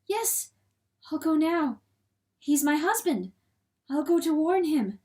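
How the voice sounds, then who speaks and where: A woman voicing a distressed, earnest and loyal hen wife in a storybook, with a pleading, halting manner. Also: high voice, expressive, a little mellow, far from the mic, pitched above the performer's own voice. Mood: pained resolve.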